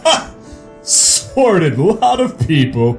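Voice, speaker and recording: Slow, pompous upper-class husband played by a man. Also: high voice, sing-song, soft background music, exaggerated voice. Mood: scornful amusement. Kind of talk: retro radio drama acting.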